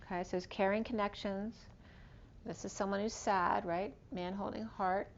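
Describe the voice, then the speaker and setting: A woman giving a casual tarot reading, rambling through cards and star signs, her voice going hoarse. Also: medium pitch, steady tone, moderate pace, a little hiss or hum. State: losing her voice. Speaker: tarot reader. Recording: dull lo-fi recording.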